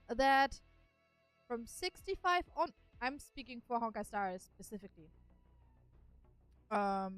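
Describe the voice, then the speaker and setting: A young woman with a German accent chats through gaming commentary, her fairly high voice bouncing with lots of melody. She explains things casually with plenty of fillers, and faint game music plays underneath. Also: no room sound. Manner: chatty explainer, many fillers. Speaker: gaming streamer.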